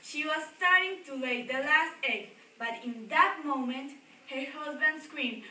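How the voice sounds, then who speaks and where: A woman's voice: high voice, unhurried, pushed and bright. A young woman reading a story aloud to schoolchildren in a classroom, recorded from across the room. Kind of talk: read-aloud story with questions to listeners. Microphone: distant camera across the room. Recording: echoey room, distant mic, background noise.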